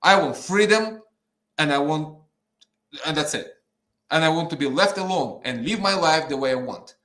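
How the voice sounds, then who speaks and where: A man's voice: fairly high pitch, normal speed, a bit bright. A man with an Eastern European accent ranting in conversation, blunt and fired-up, swearing and repeating himself. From Ukraine, former Soviet Union. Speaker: guest, petition campaigner. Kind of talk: impassioned conversational rant. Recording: roomy, echoing sound.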